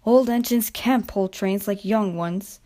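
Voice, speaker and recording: A woman's voice: mid-range voice, unhurried, mellow, close to an everyday voice. A woman voicing a stern but plucky old male steam engine in a children's storybook, earnest and effortful. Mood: grateful.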